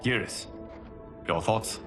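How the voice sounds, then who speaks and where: An actor playing a stern, wise protector god and war leader, solemn and authoritative in a video game cutscene. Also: male voice, slow pace, over music, close to an everyday voice. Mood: grave.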